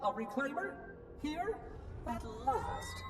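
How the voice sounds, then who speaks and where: An actor playing an officious, pedantic robot caretaker in a video game, with prim, precise diction and synthetic processing. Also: male voice, very high voice, big pitch swings, takes it slowly, recorded from a distance, over music, full character voice. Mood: officious, chipper.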